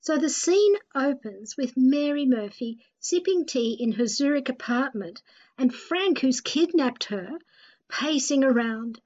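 Casting: female narrator, high-pitched voice, scripted story reading, somewhat muffled lo-fi audio. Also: expressive, a little slow, darkish tone. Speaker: narrator and presenter. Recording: dull, lo-fi voice recording.

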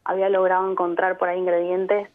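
A woman's voice: lowish voice, monotone, quick pace. An Argentine woman from Mendoza, a guest on a radio interview, speaking thoughtfully and explaining her points, often checking in with short tag questions. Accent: Argentine Spanish. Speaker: guest, immigrant sharing experience. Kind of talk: reflective radio interview by phone. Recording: tinny phone line.

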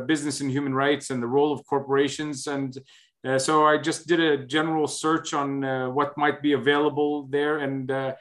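A middle-aged man from the United States, a guest in an interview, speaking in a hesitant, conversational way with many pauses. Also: medium pitch, a bit bright. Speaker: interview guest, human rights researcher.

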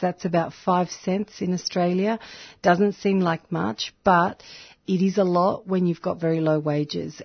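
A woman's voice: fairly low pitch, gravelly. A woman from Chile, a community radio broadcaster and activist, explaining things earnestly in a radio interview, with frequent ums. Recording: dull lo-fi radio audio.

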